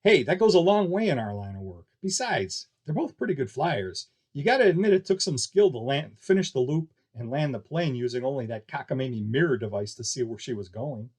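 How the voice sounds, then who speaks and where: A man's voice: medium pitch, lively intonation, fairly quick, few pauses, darkish tone, husky. Man reading as an easygoing flying circus boss. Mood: placating. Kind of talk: audiobook character dialogue.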